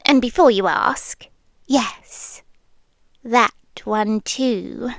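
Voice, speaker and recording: A British woman playing a possessive yandere girlfriend who lives in the listener's head, speaking in an intimate, breathy ASMR style with teasing pauses and frequent drops into whispers. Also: fairly high pitch, fairly bright tone, no echo, studio quality, heavily acted. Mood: teasing, knowing. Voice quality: breathy, often dropping into whispers. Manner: slow, teasing, coy, with drawn-out pauses. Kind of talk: ASMR roleplay, intimate close address. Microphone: close, dry microphone.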